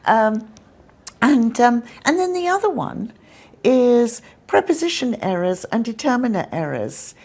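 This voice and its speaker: A woman's voice: fairly high pitch, big pitch swings, a little slow, darkish tone, slightly rough. A woman hosting a live English-teaching show, explaining points with examples.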